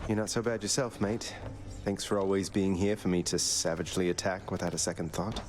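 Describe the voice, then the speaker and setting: An actor playing a wry young underworld prince in a video game, speaking in a dry, polite, understated way. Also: male voice, low-pitched, level tone, brisk, faint music behind, lightly acted. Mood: breezy.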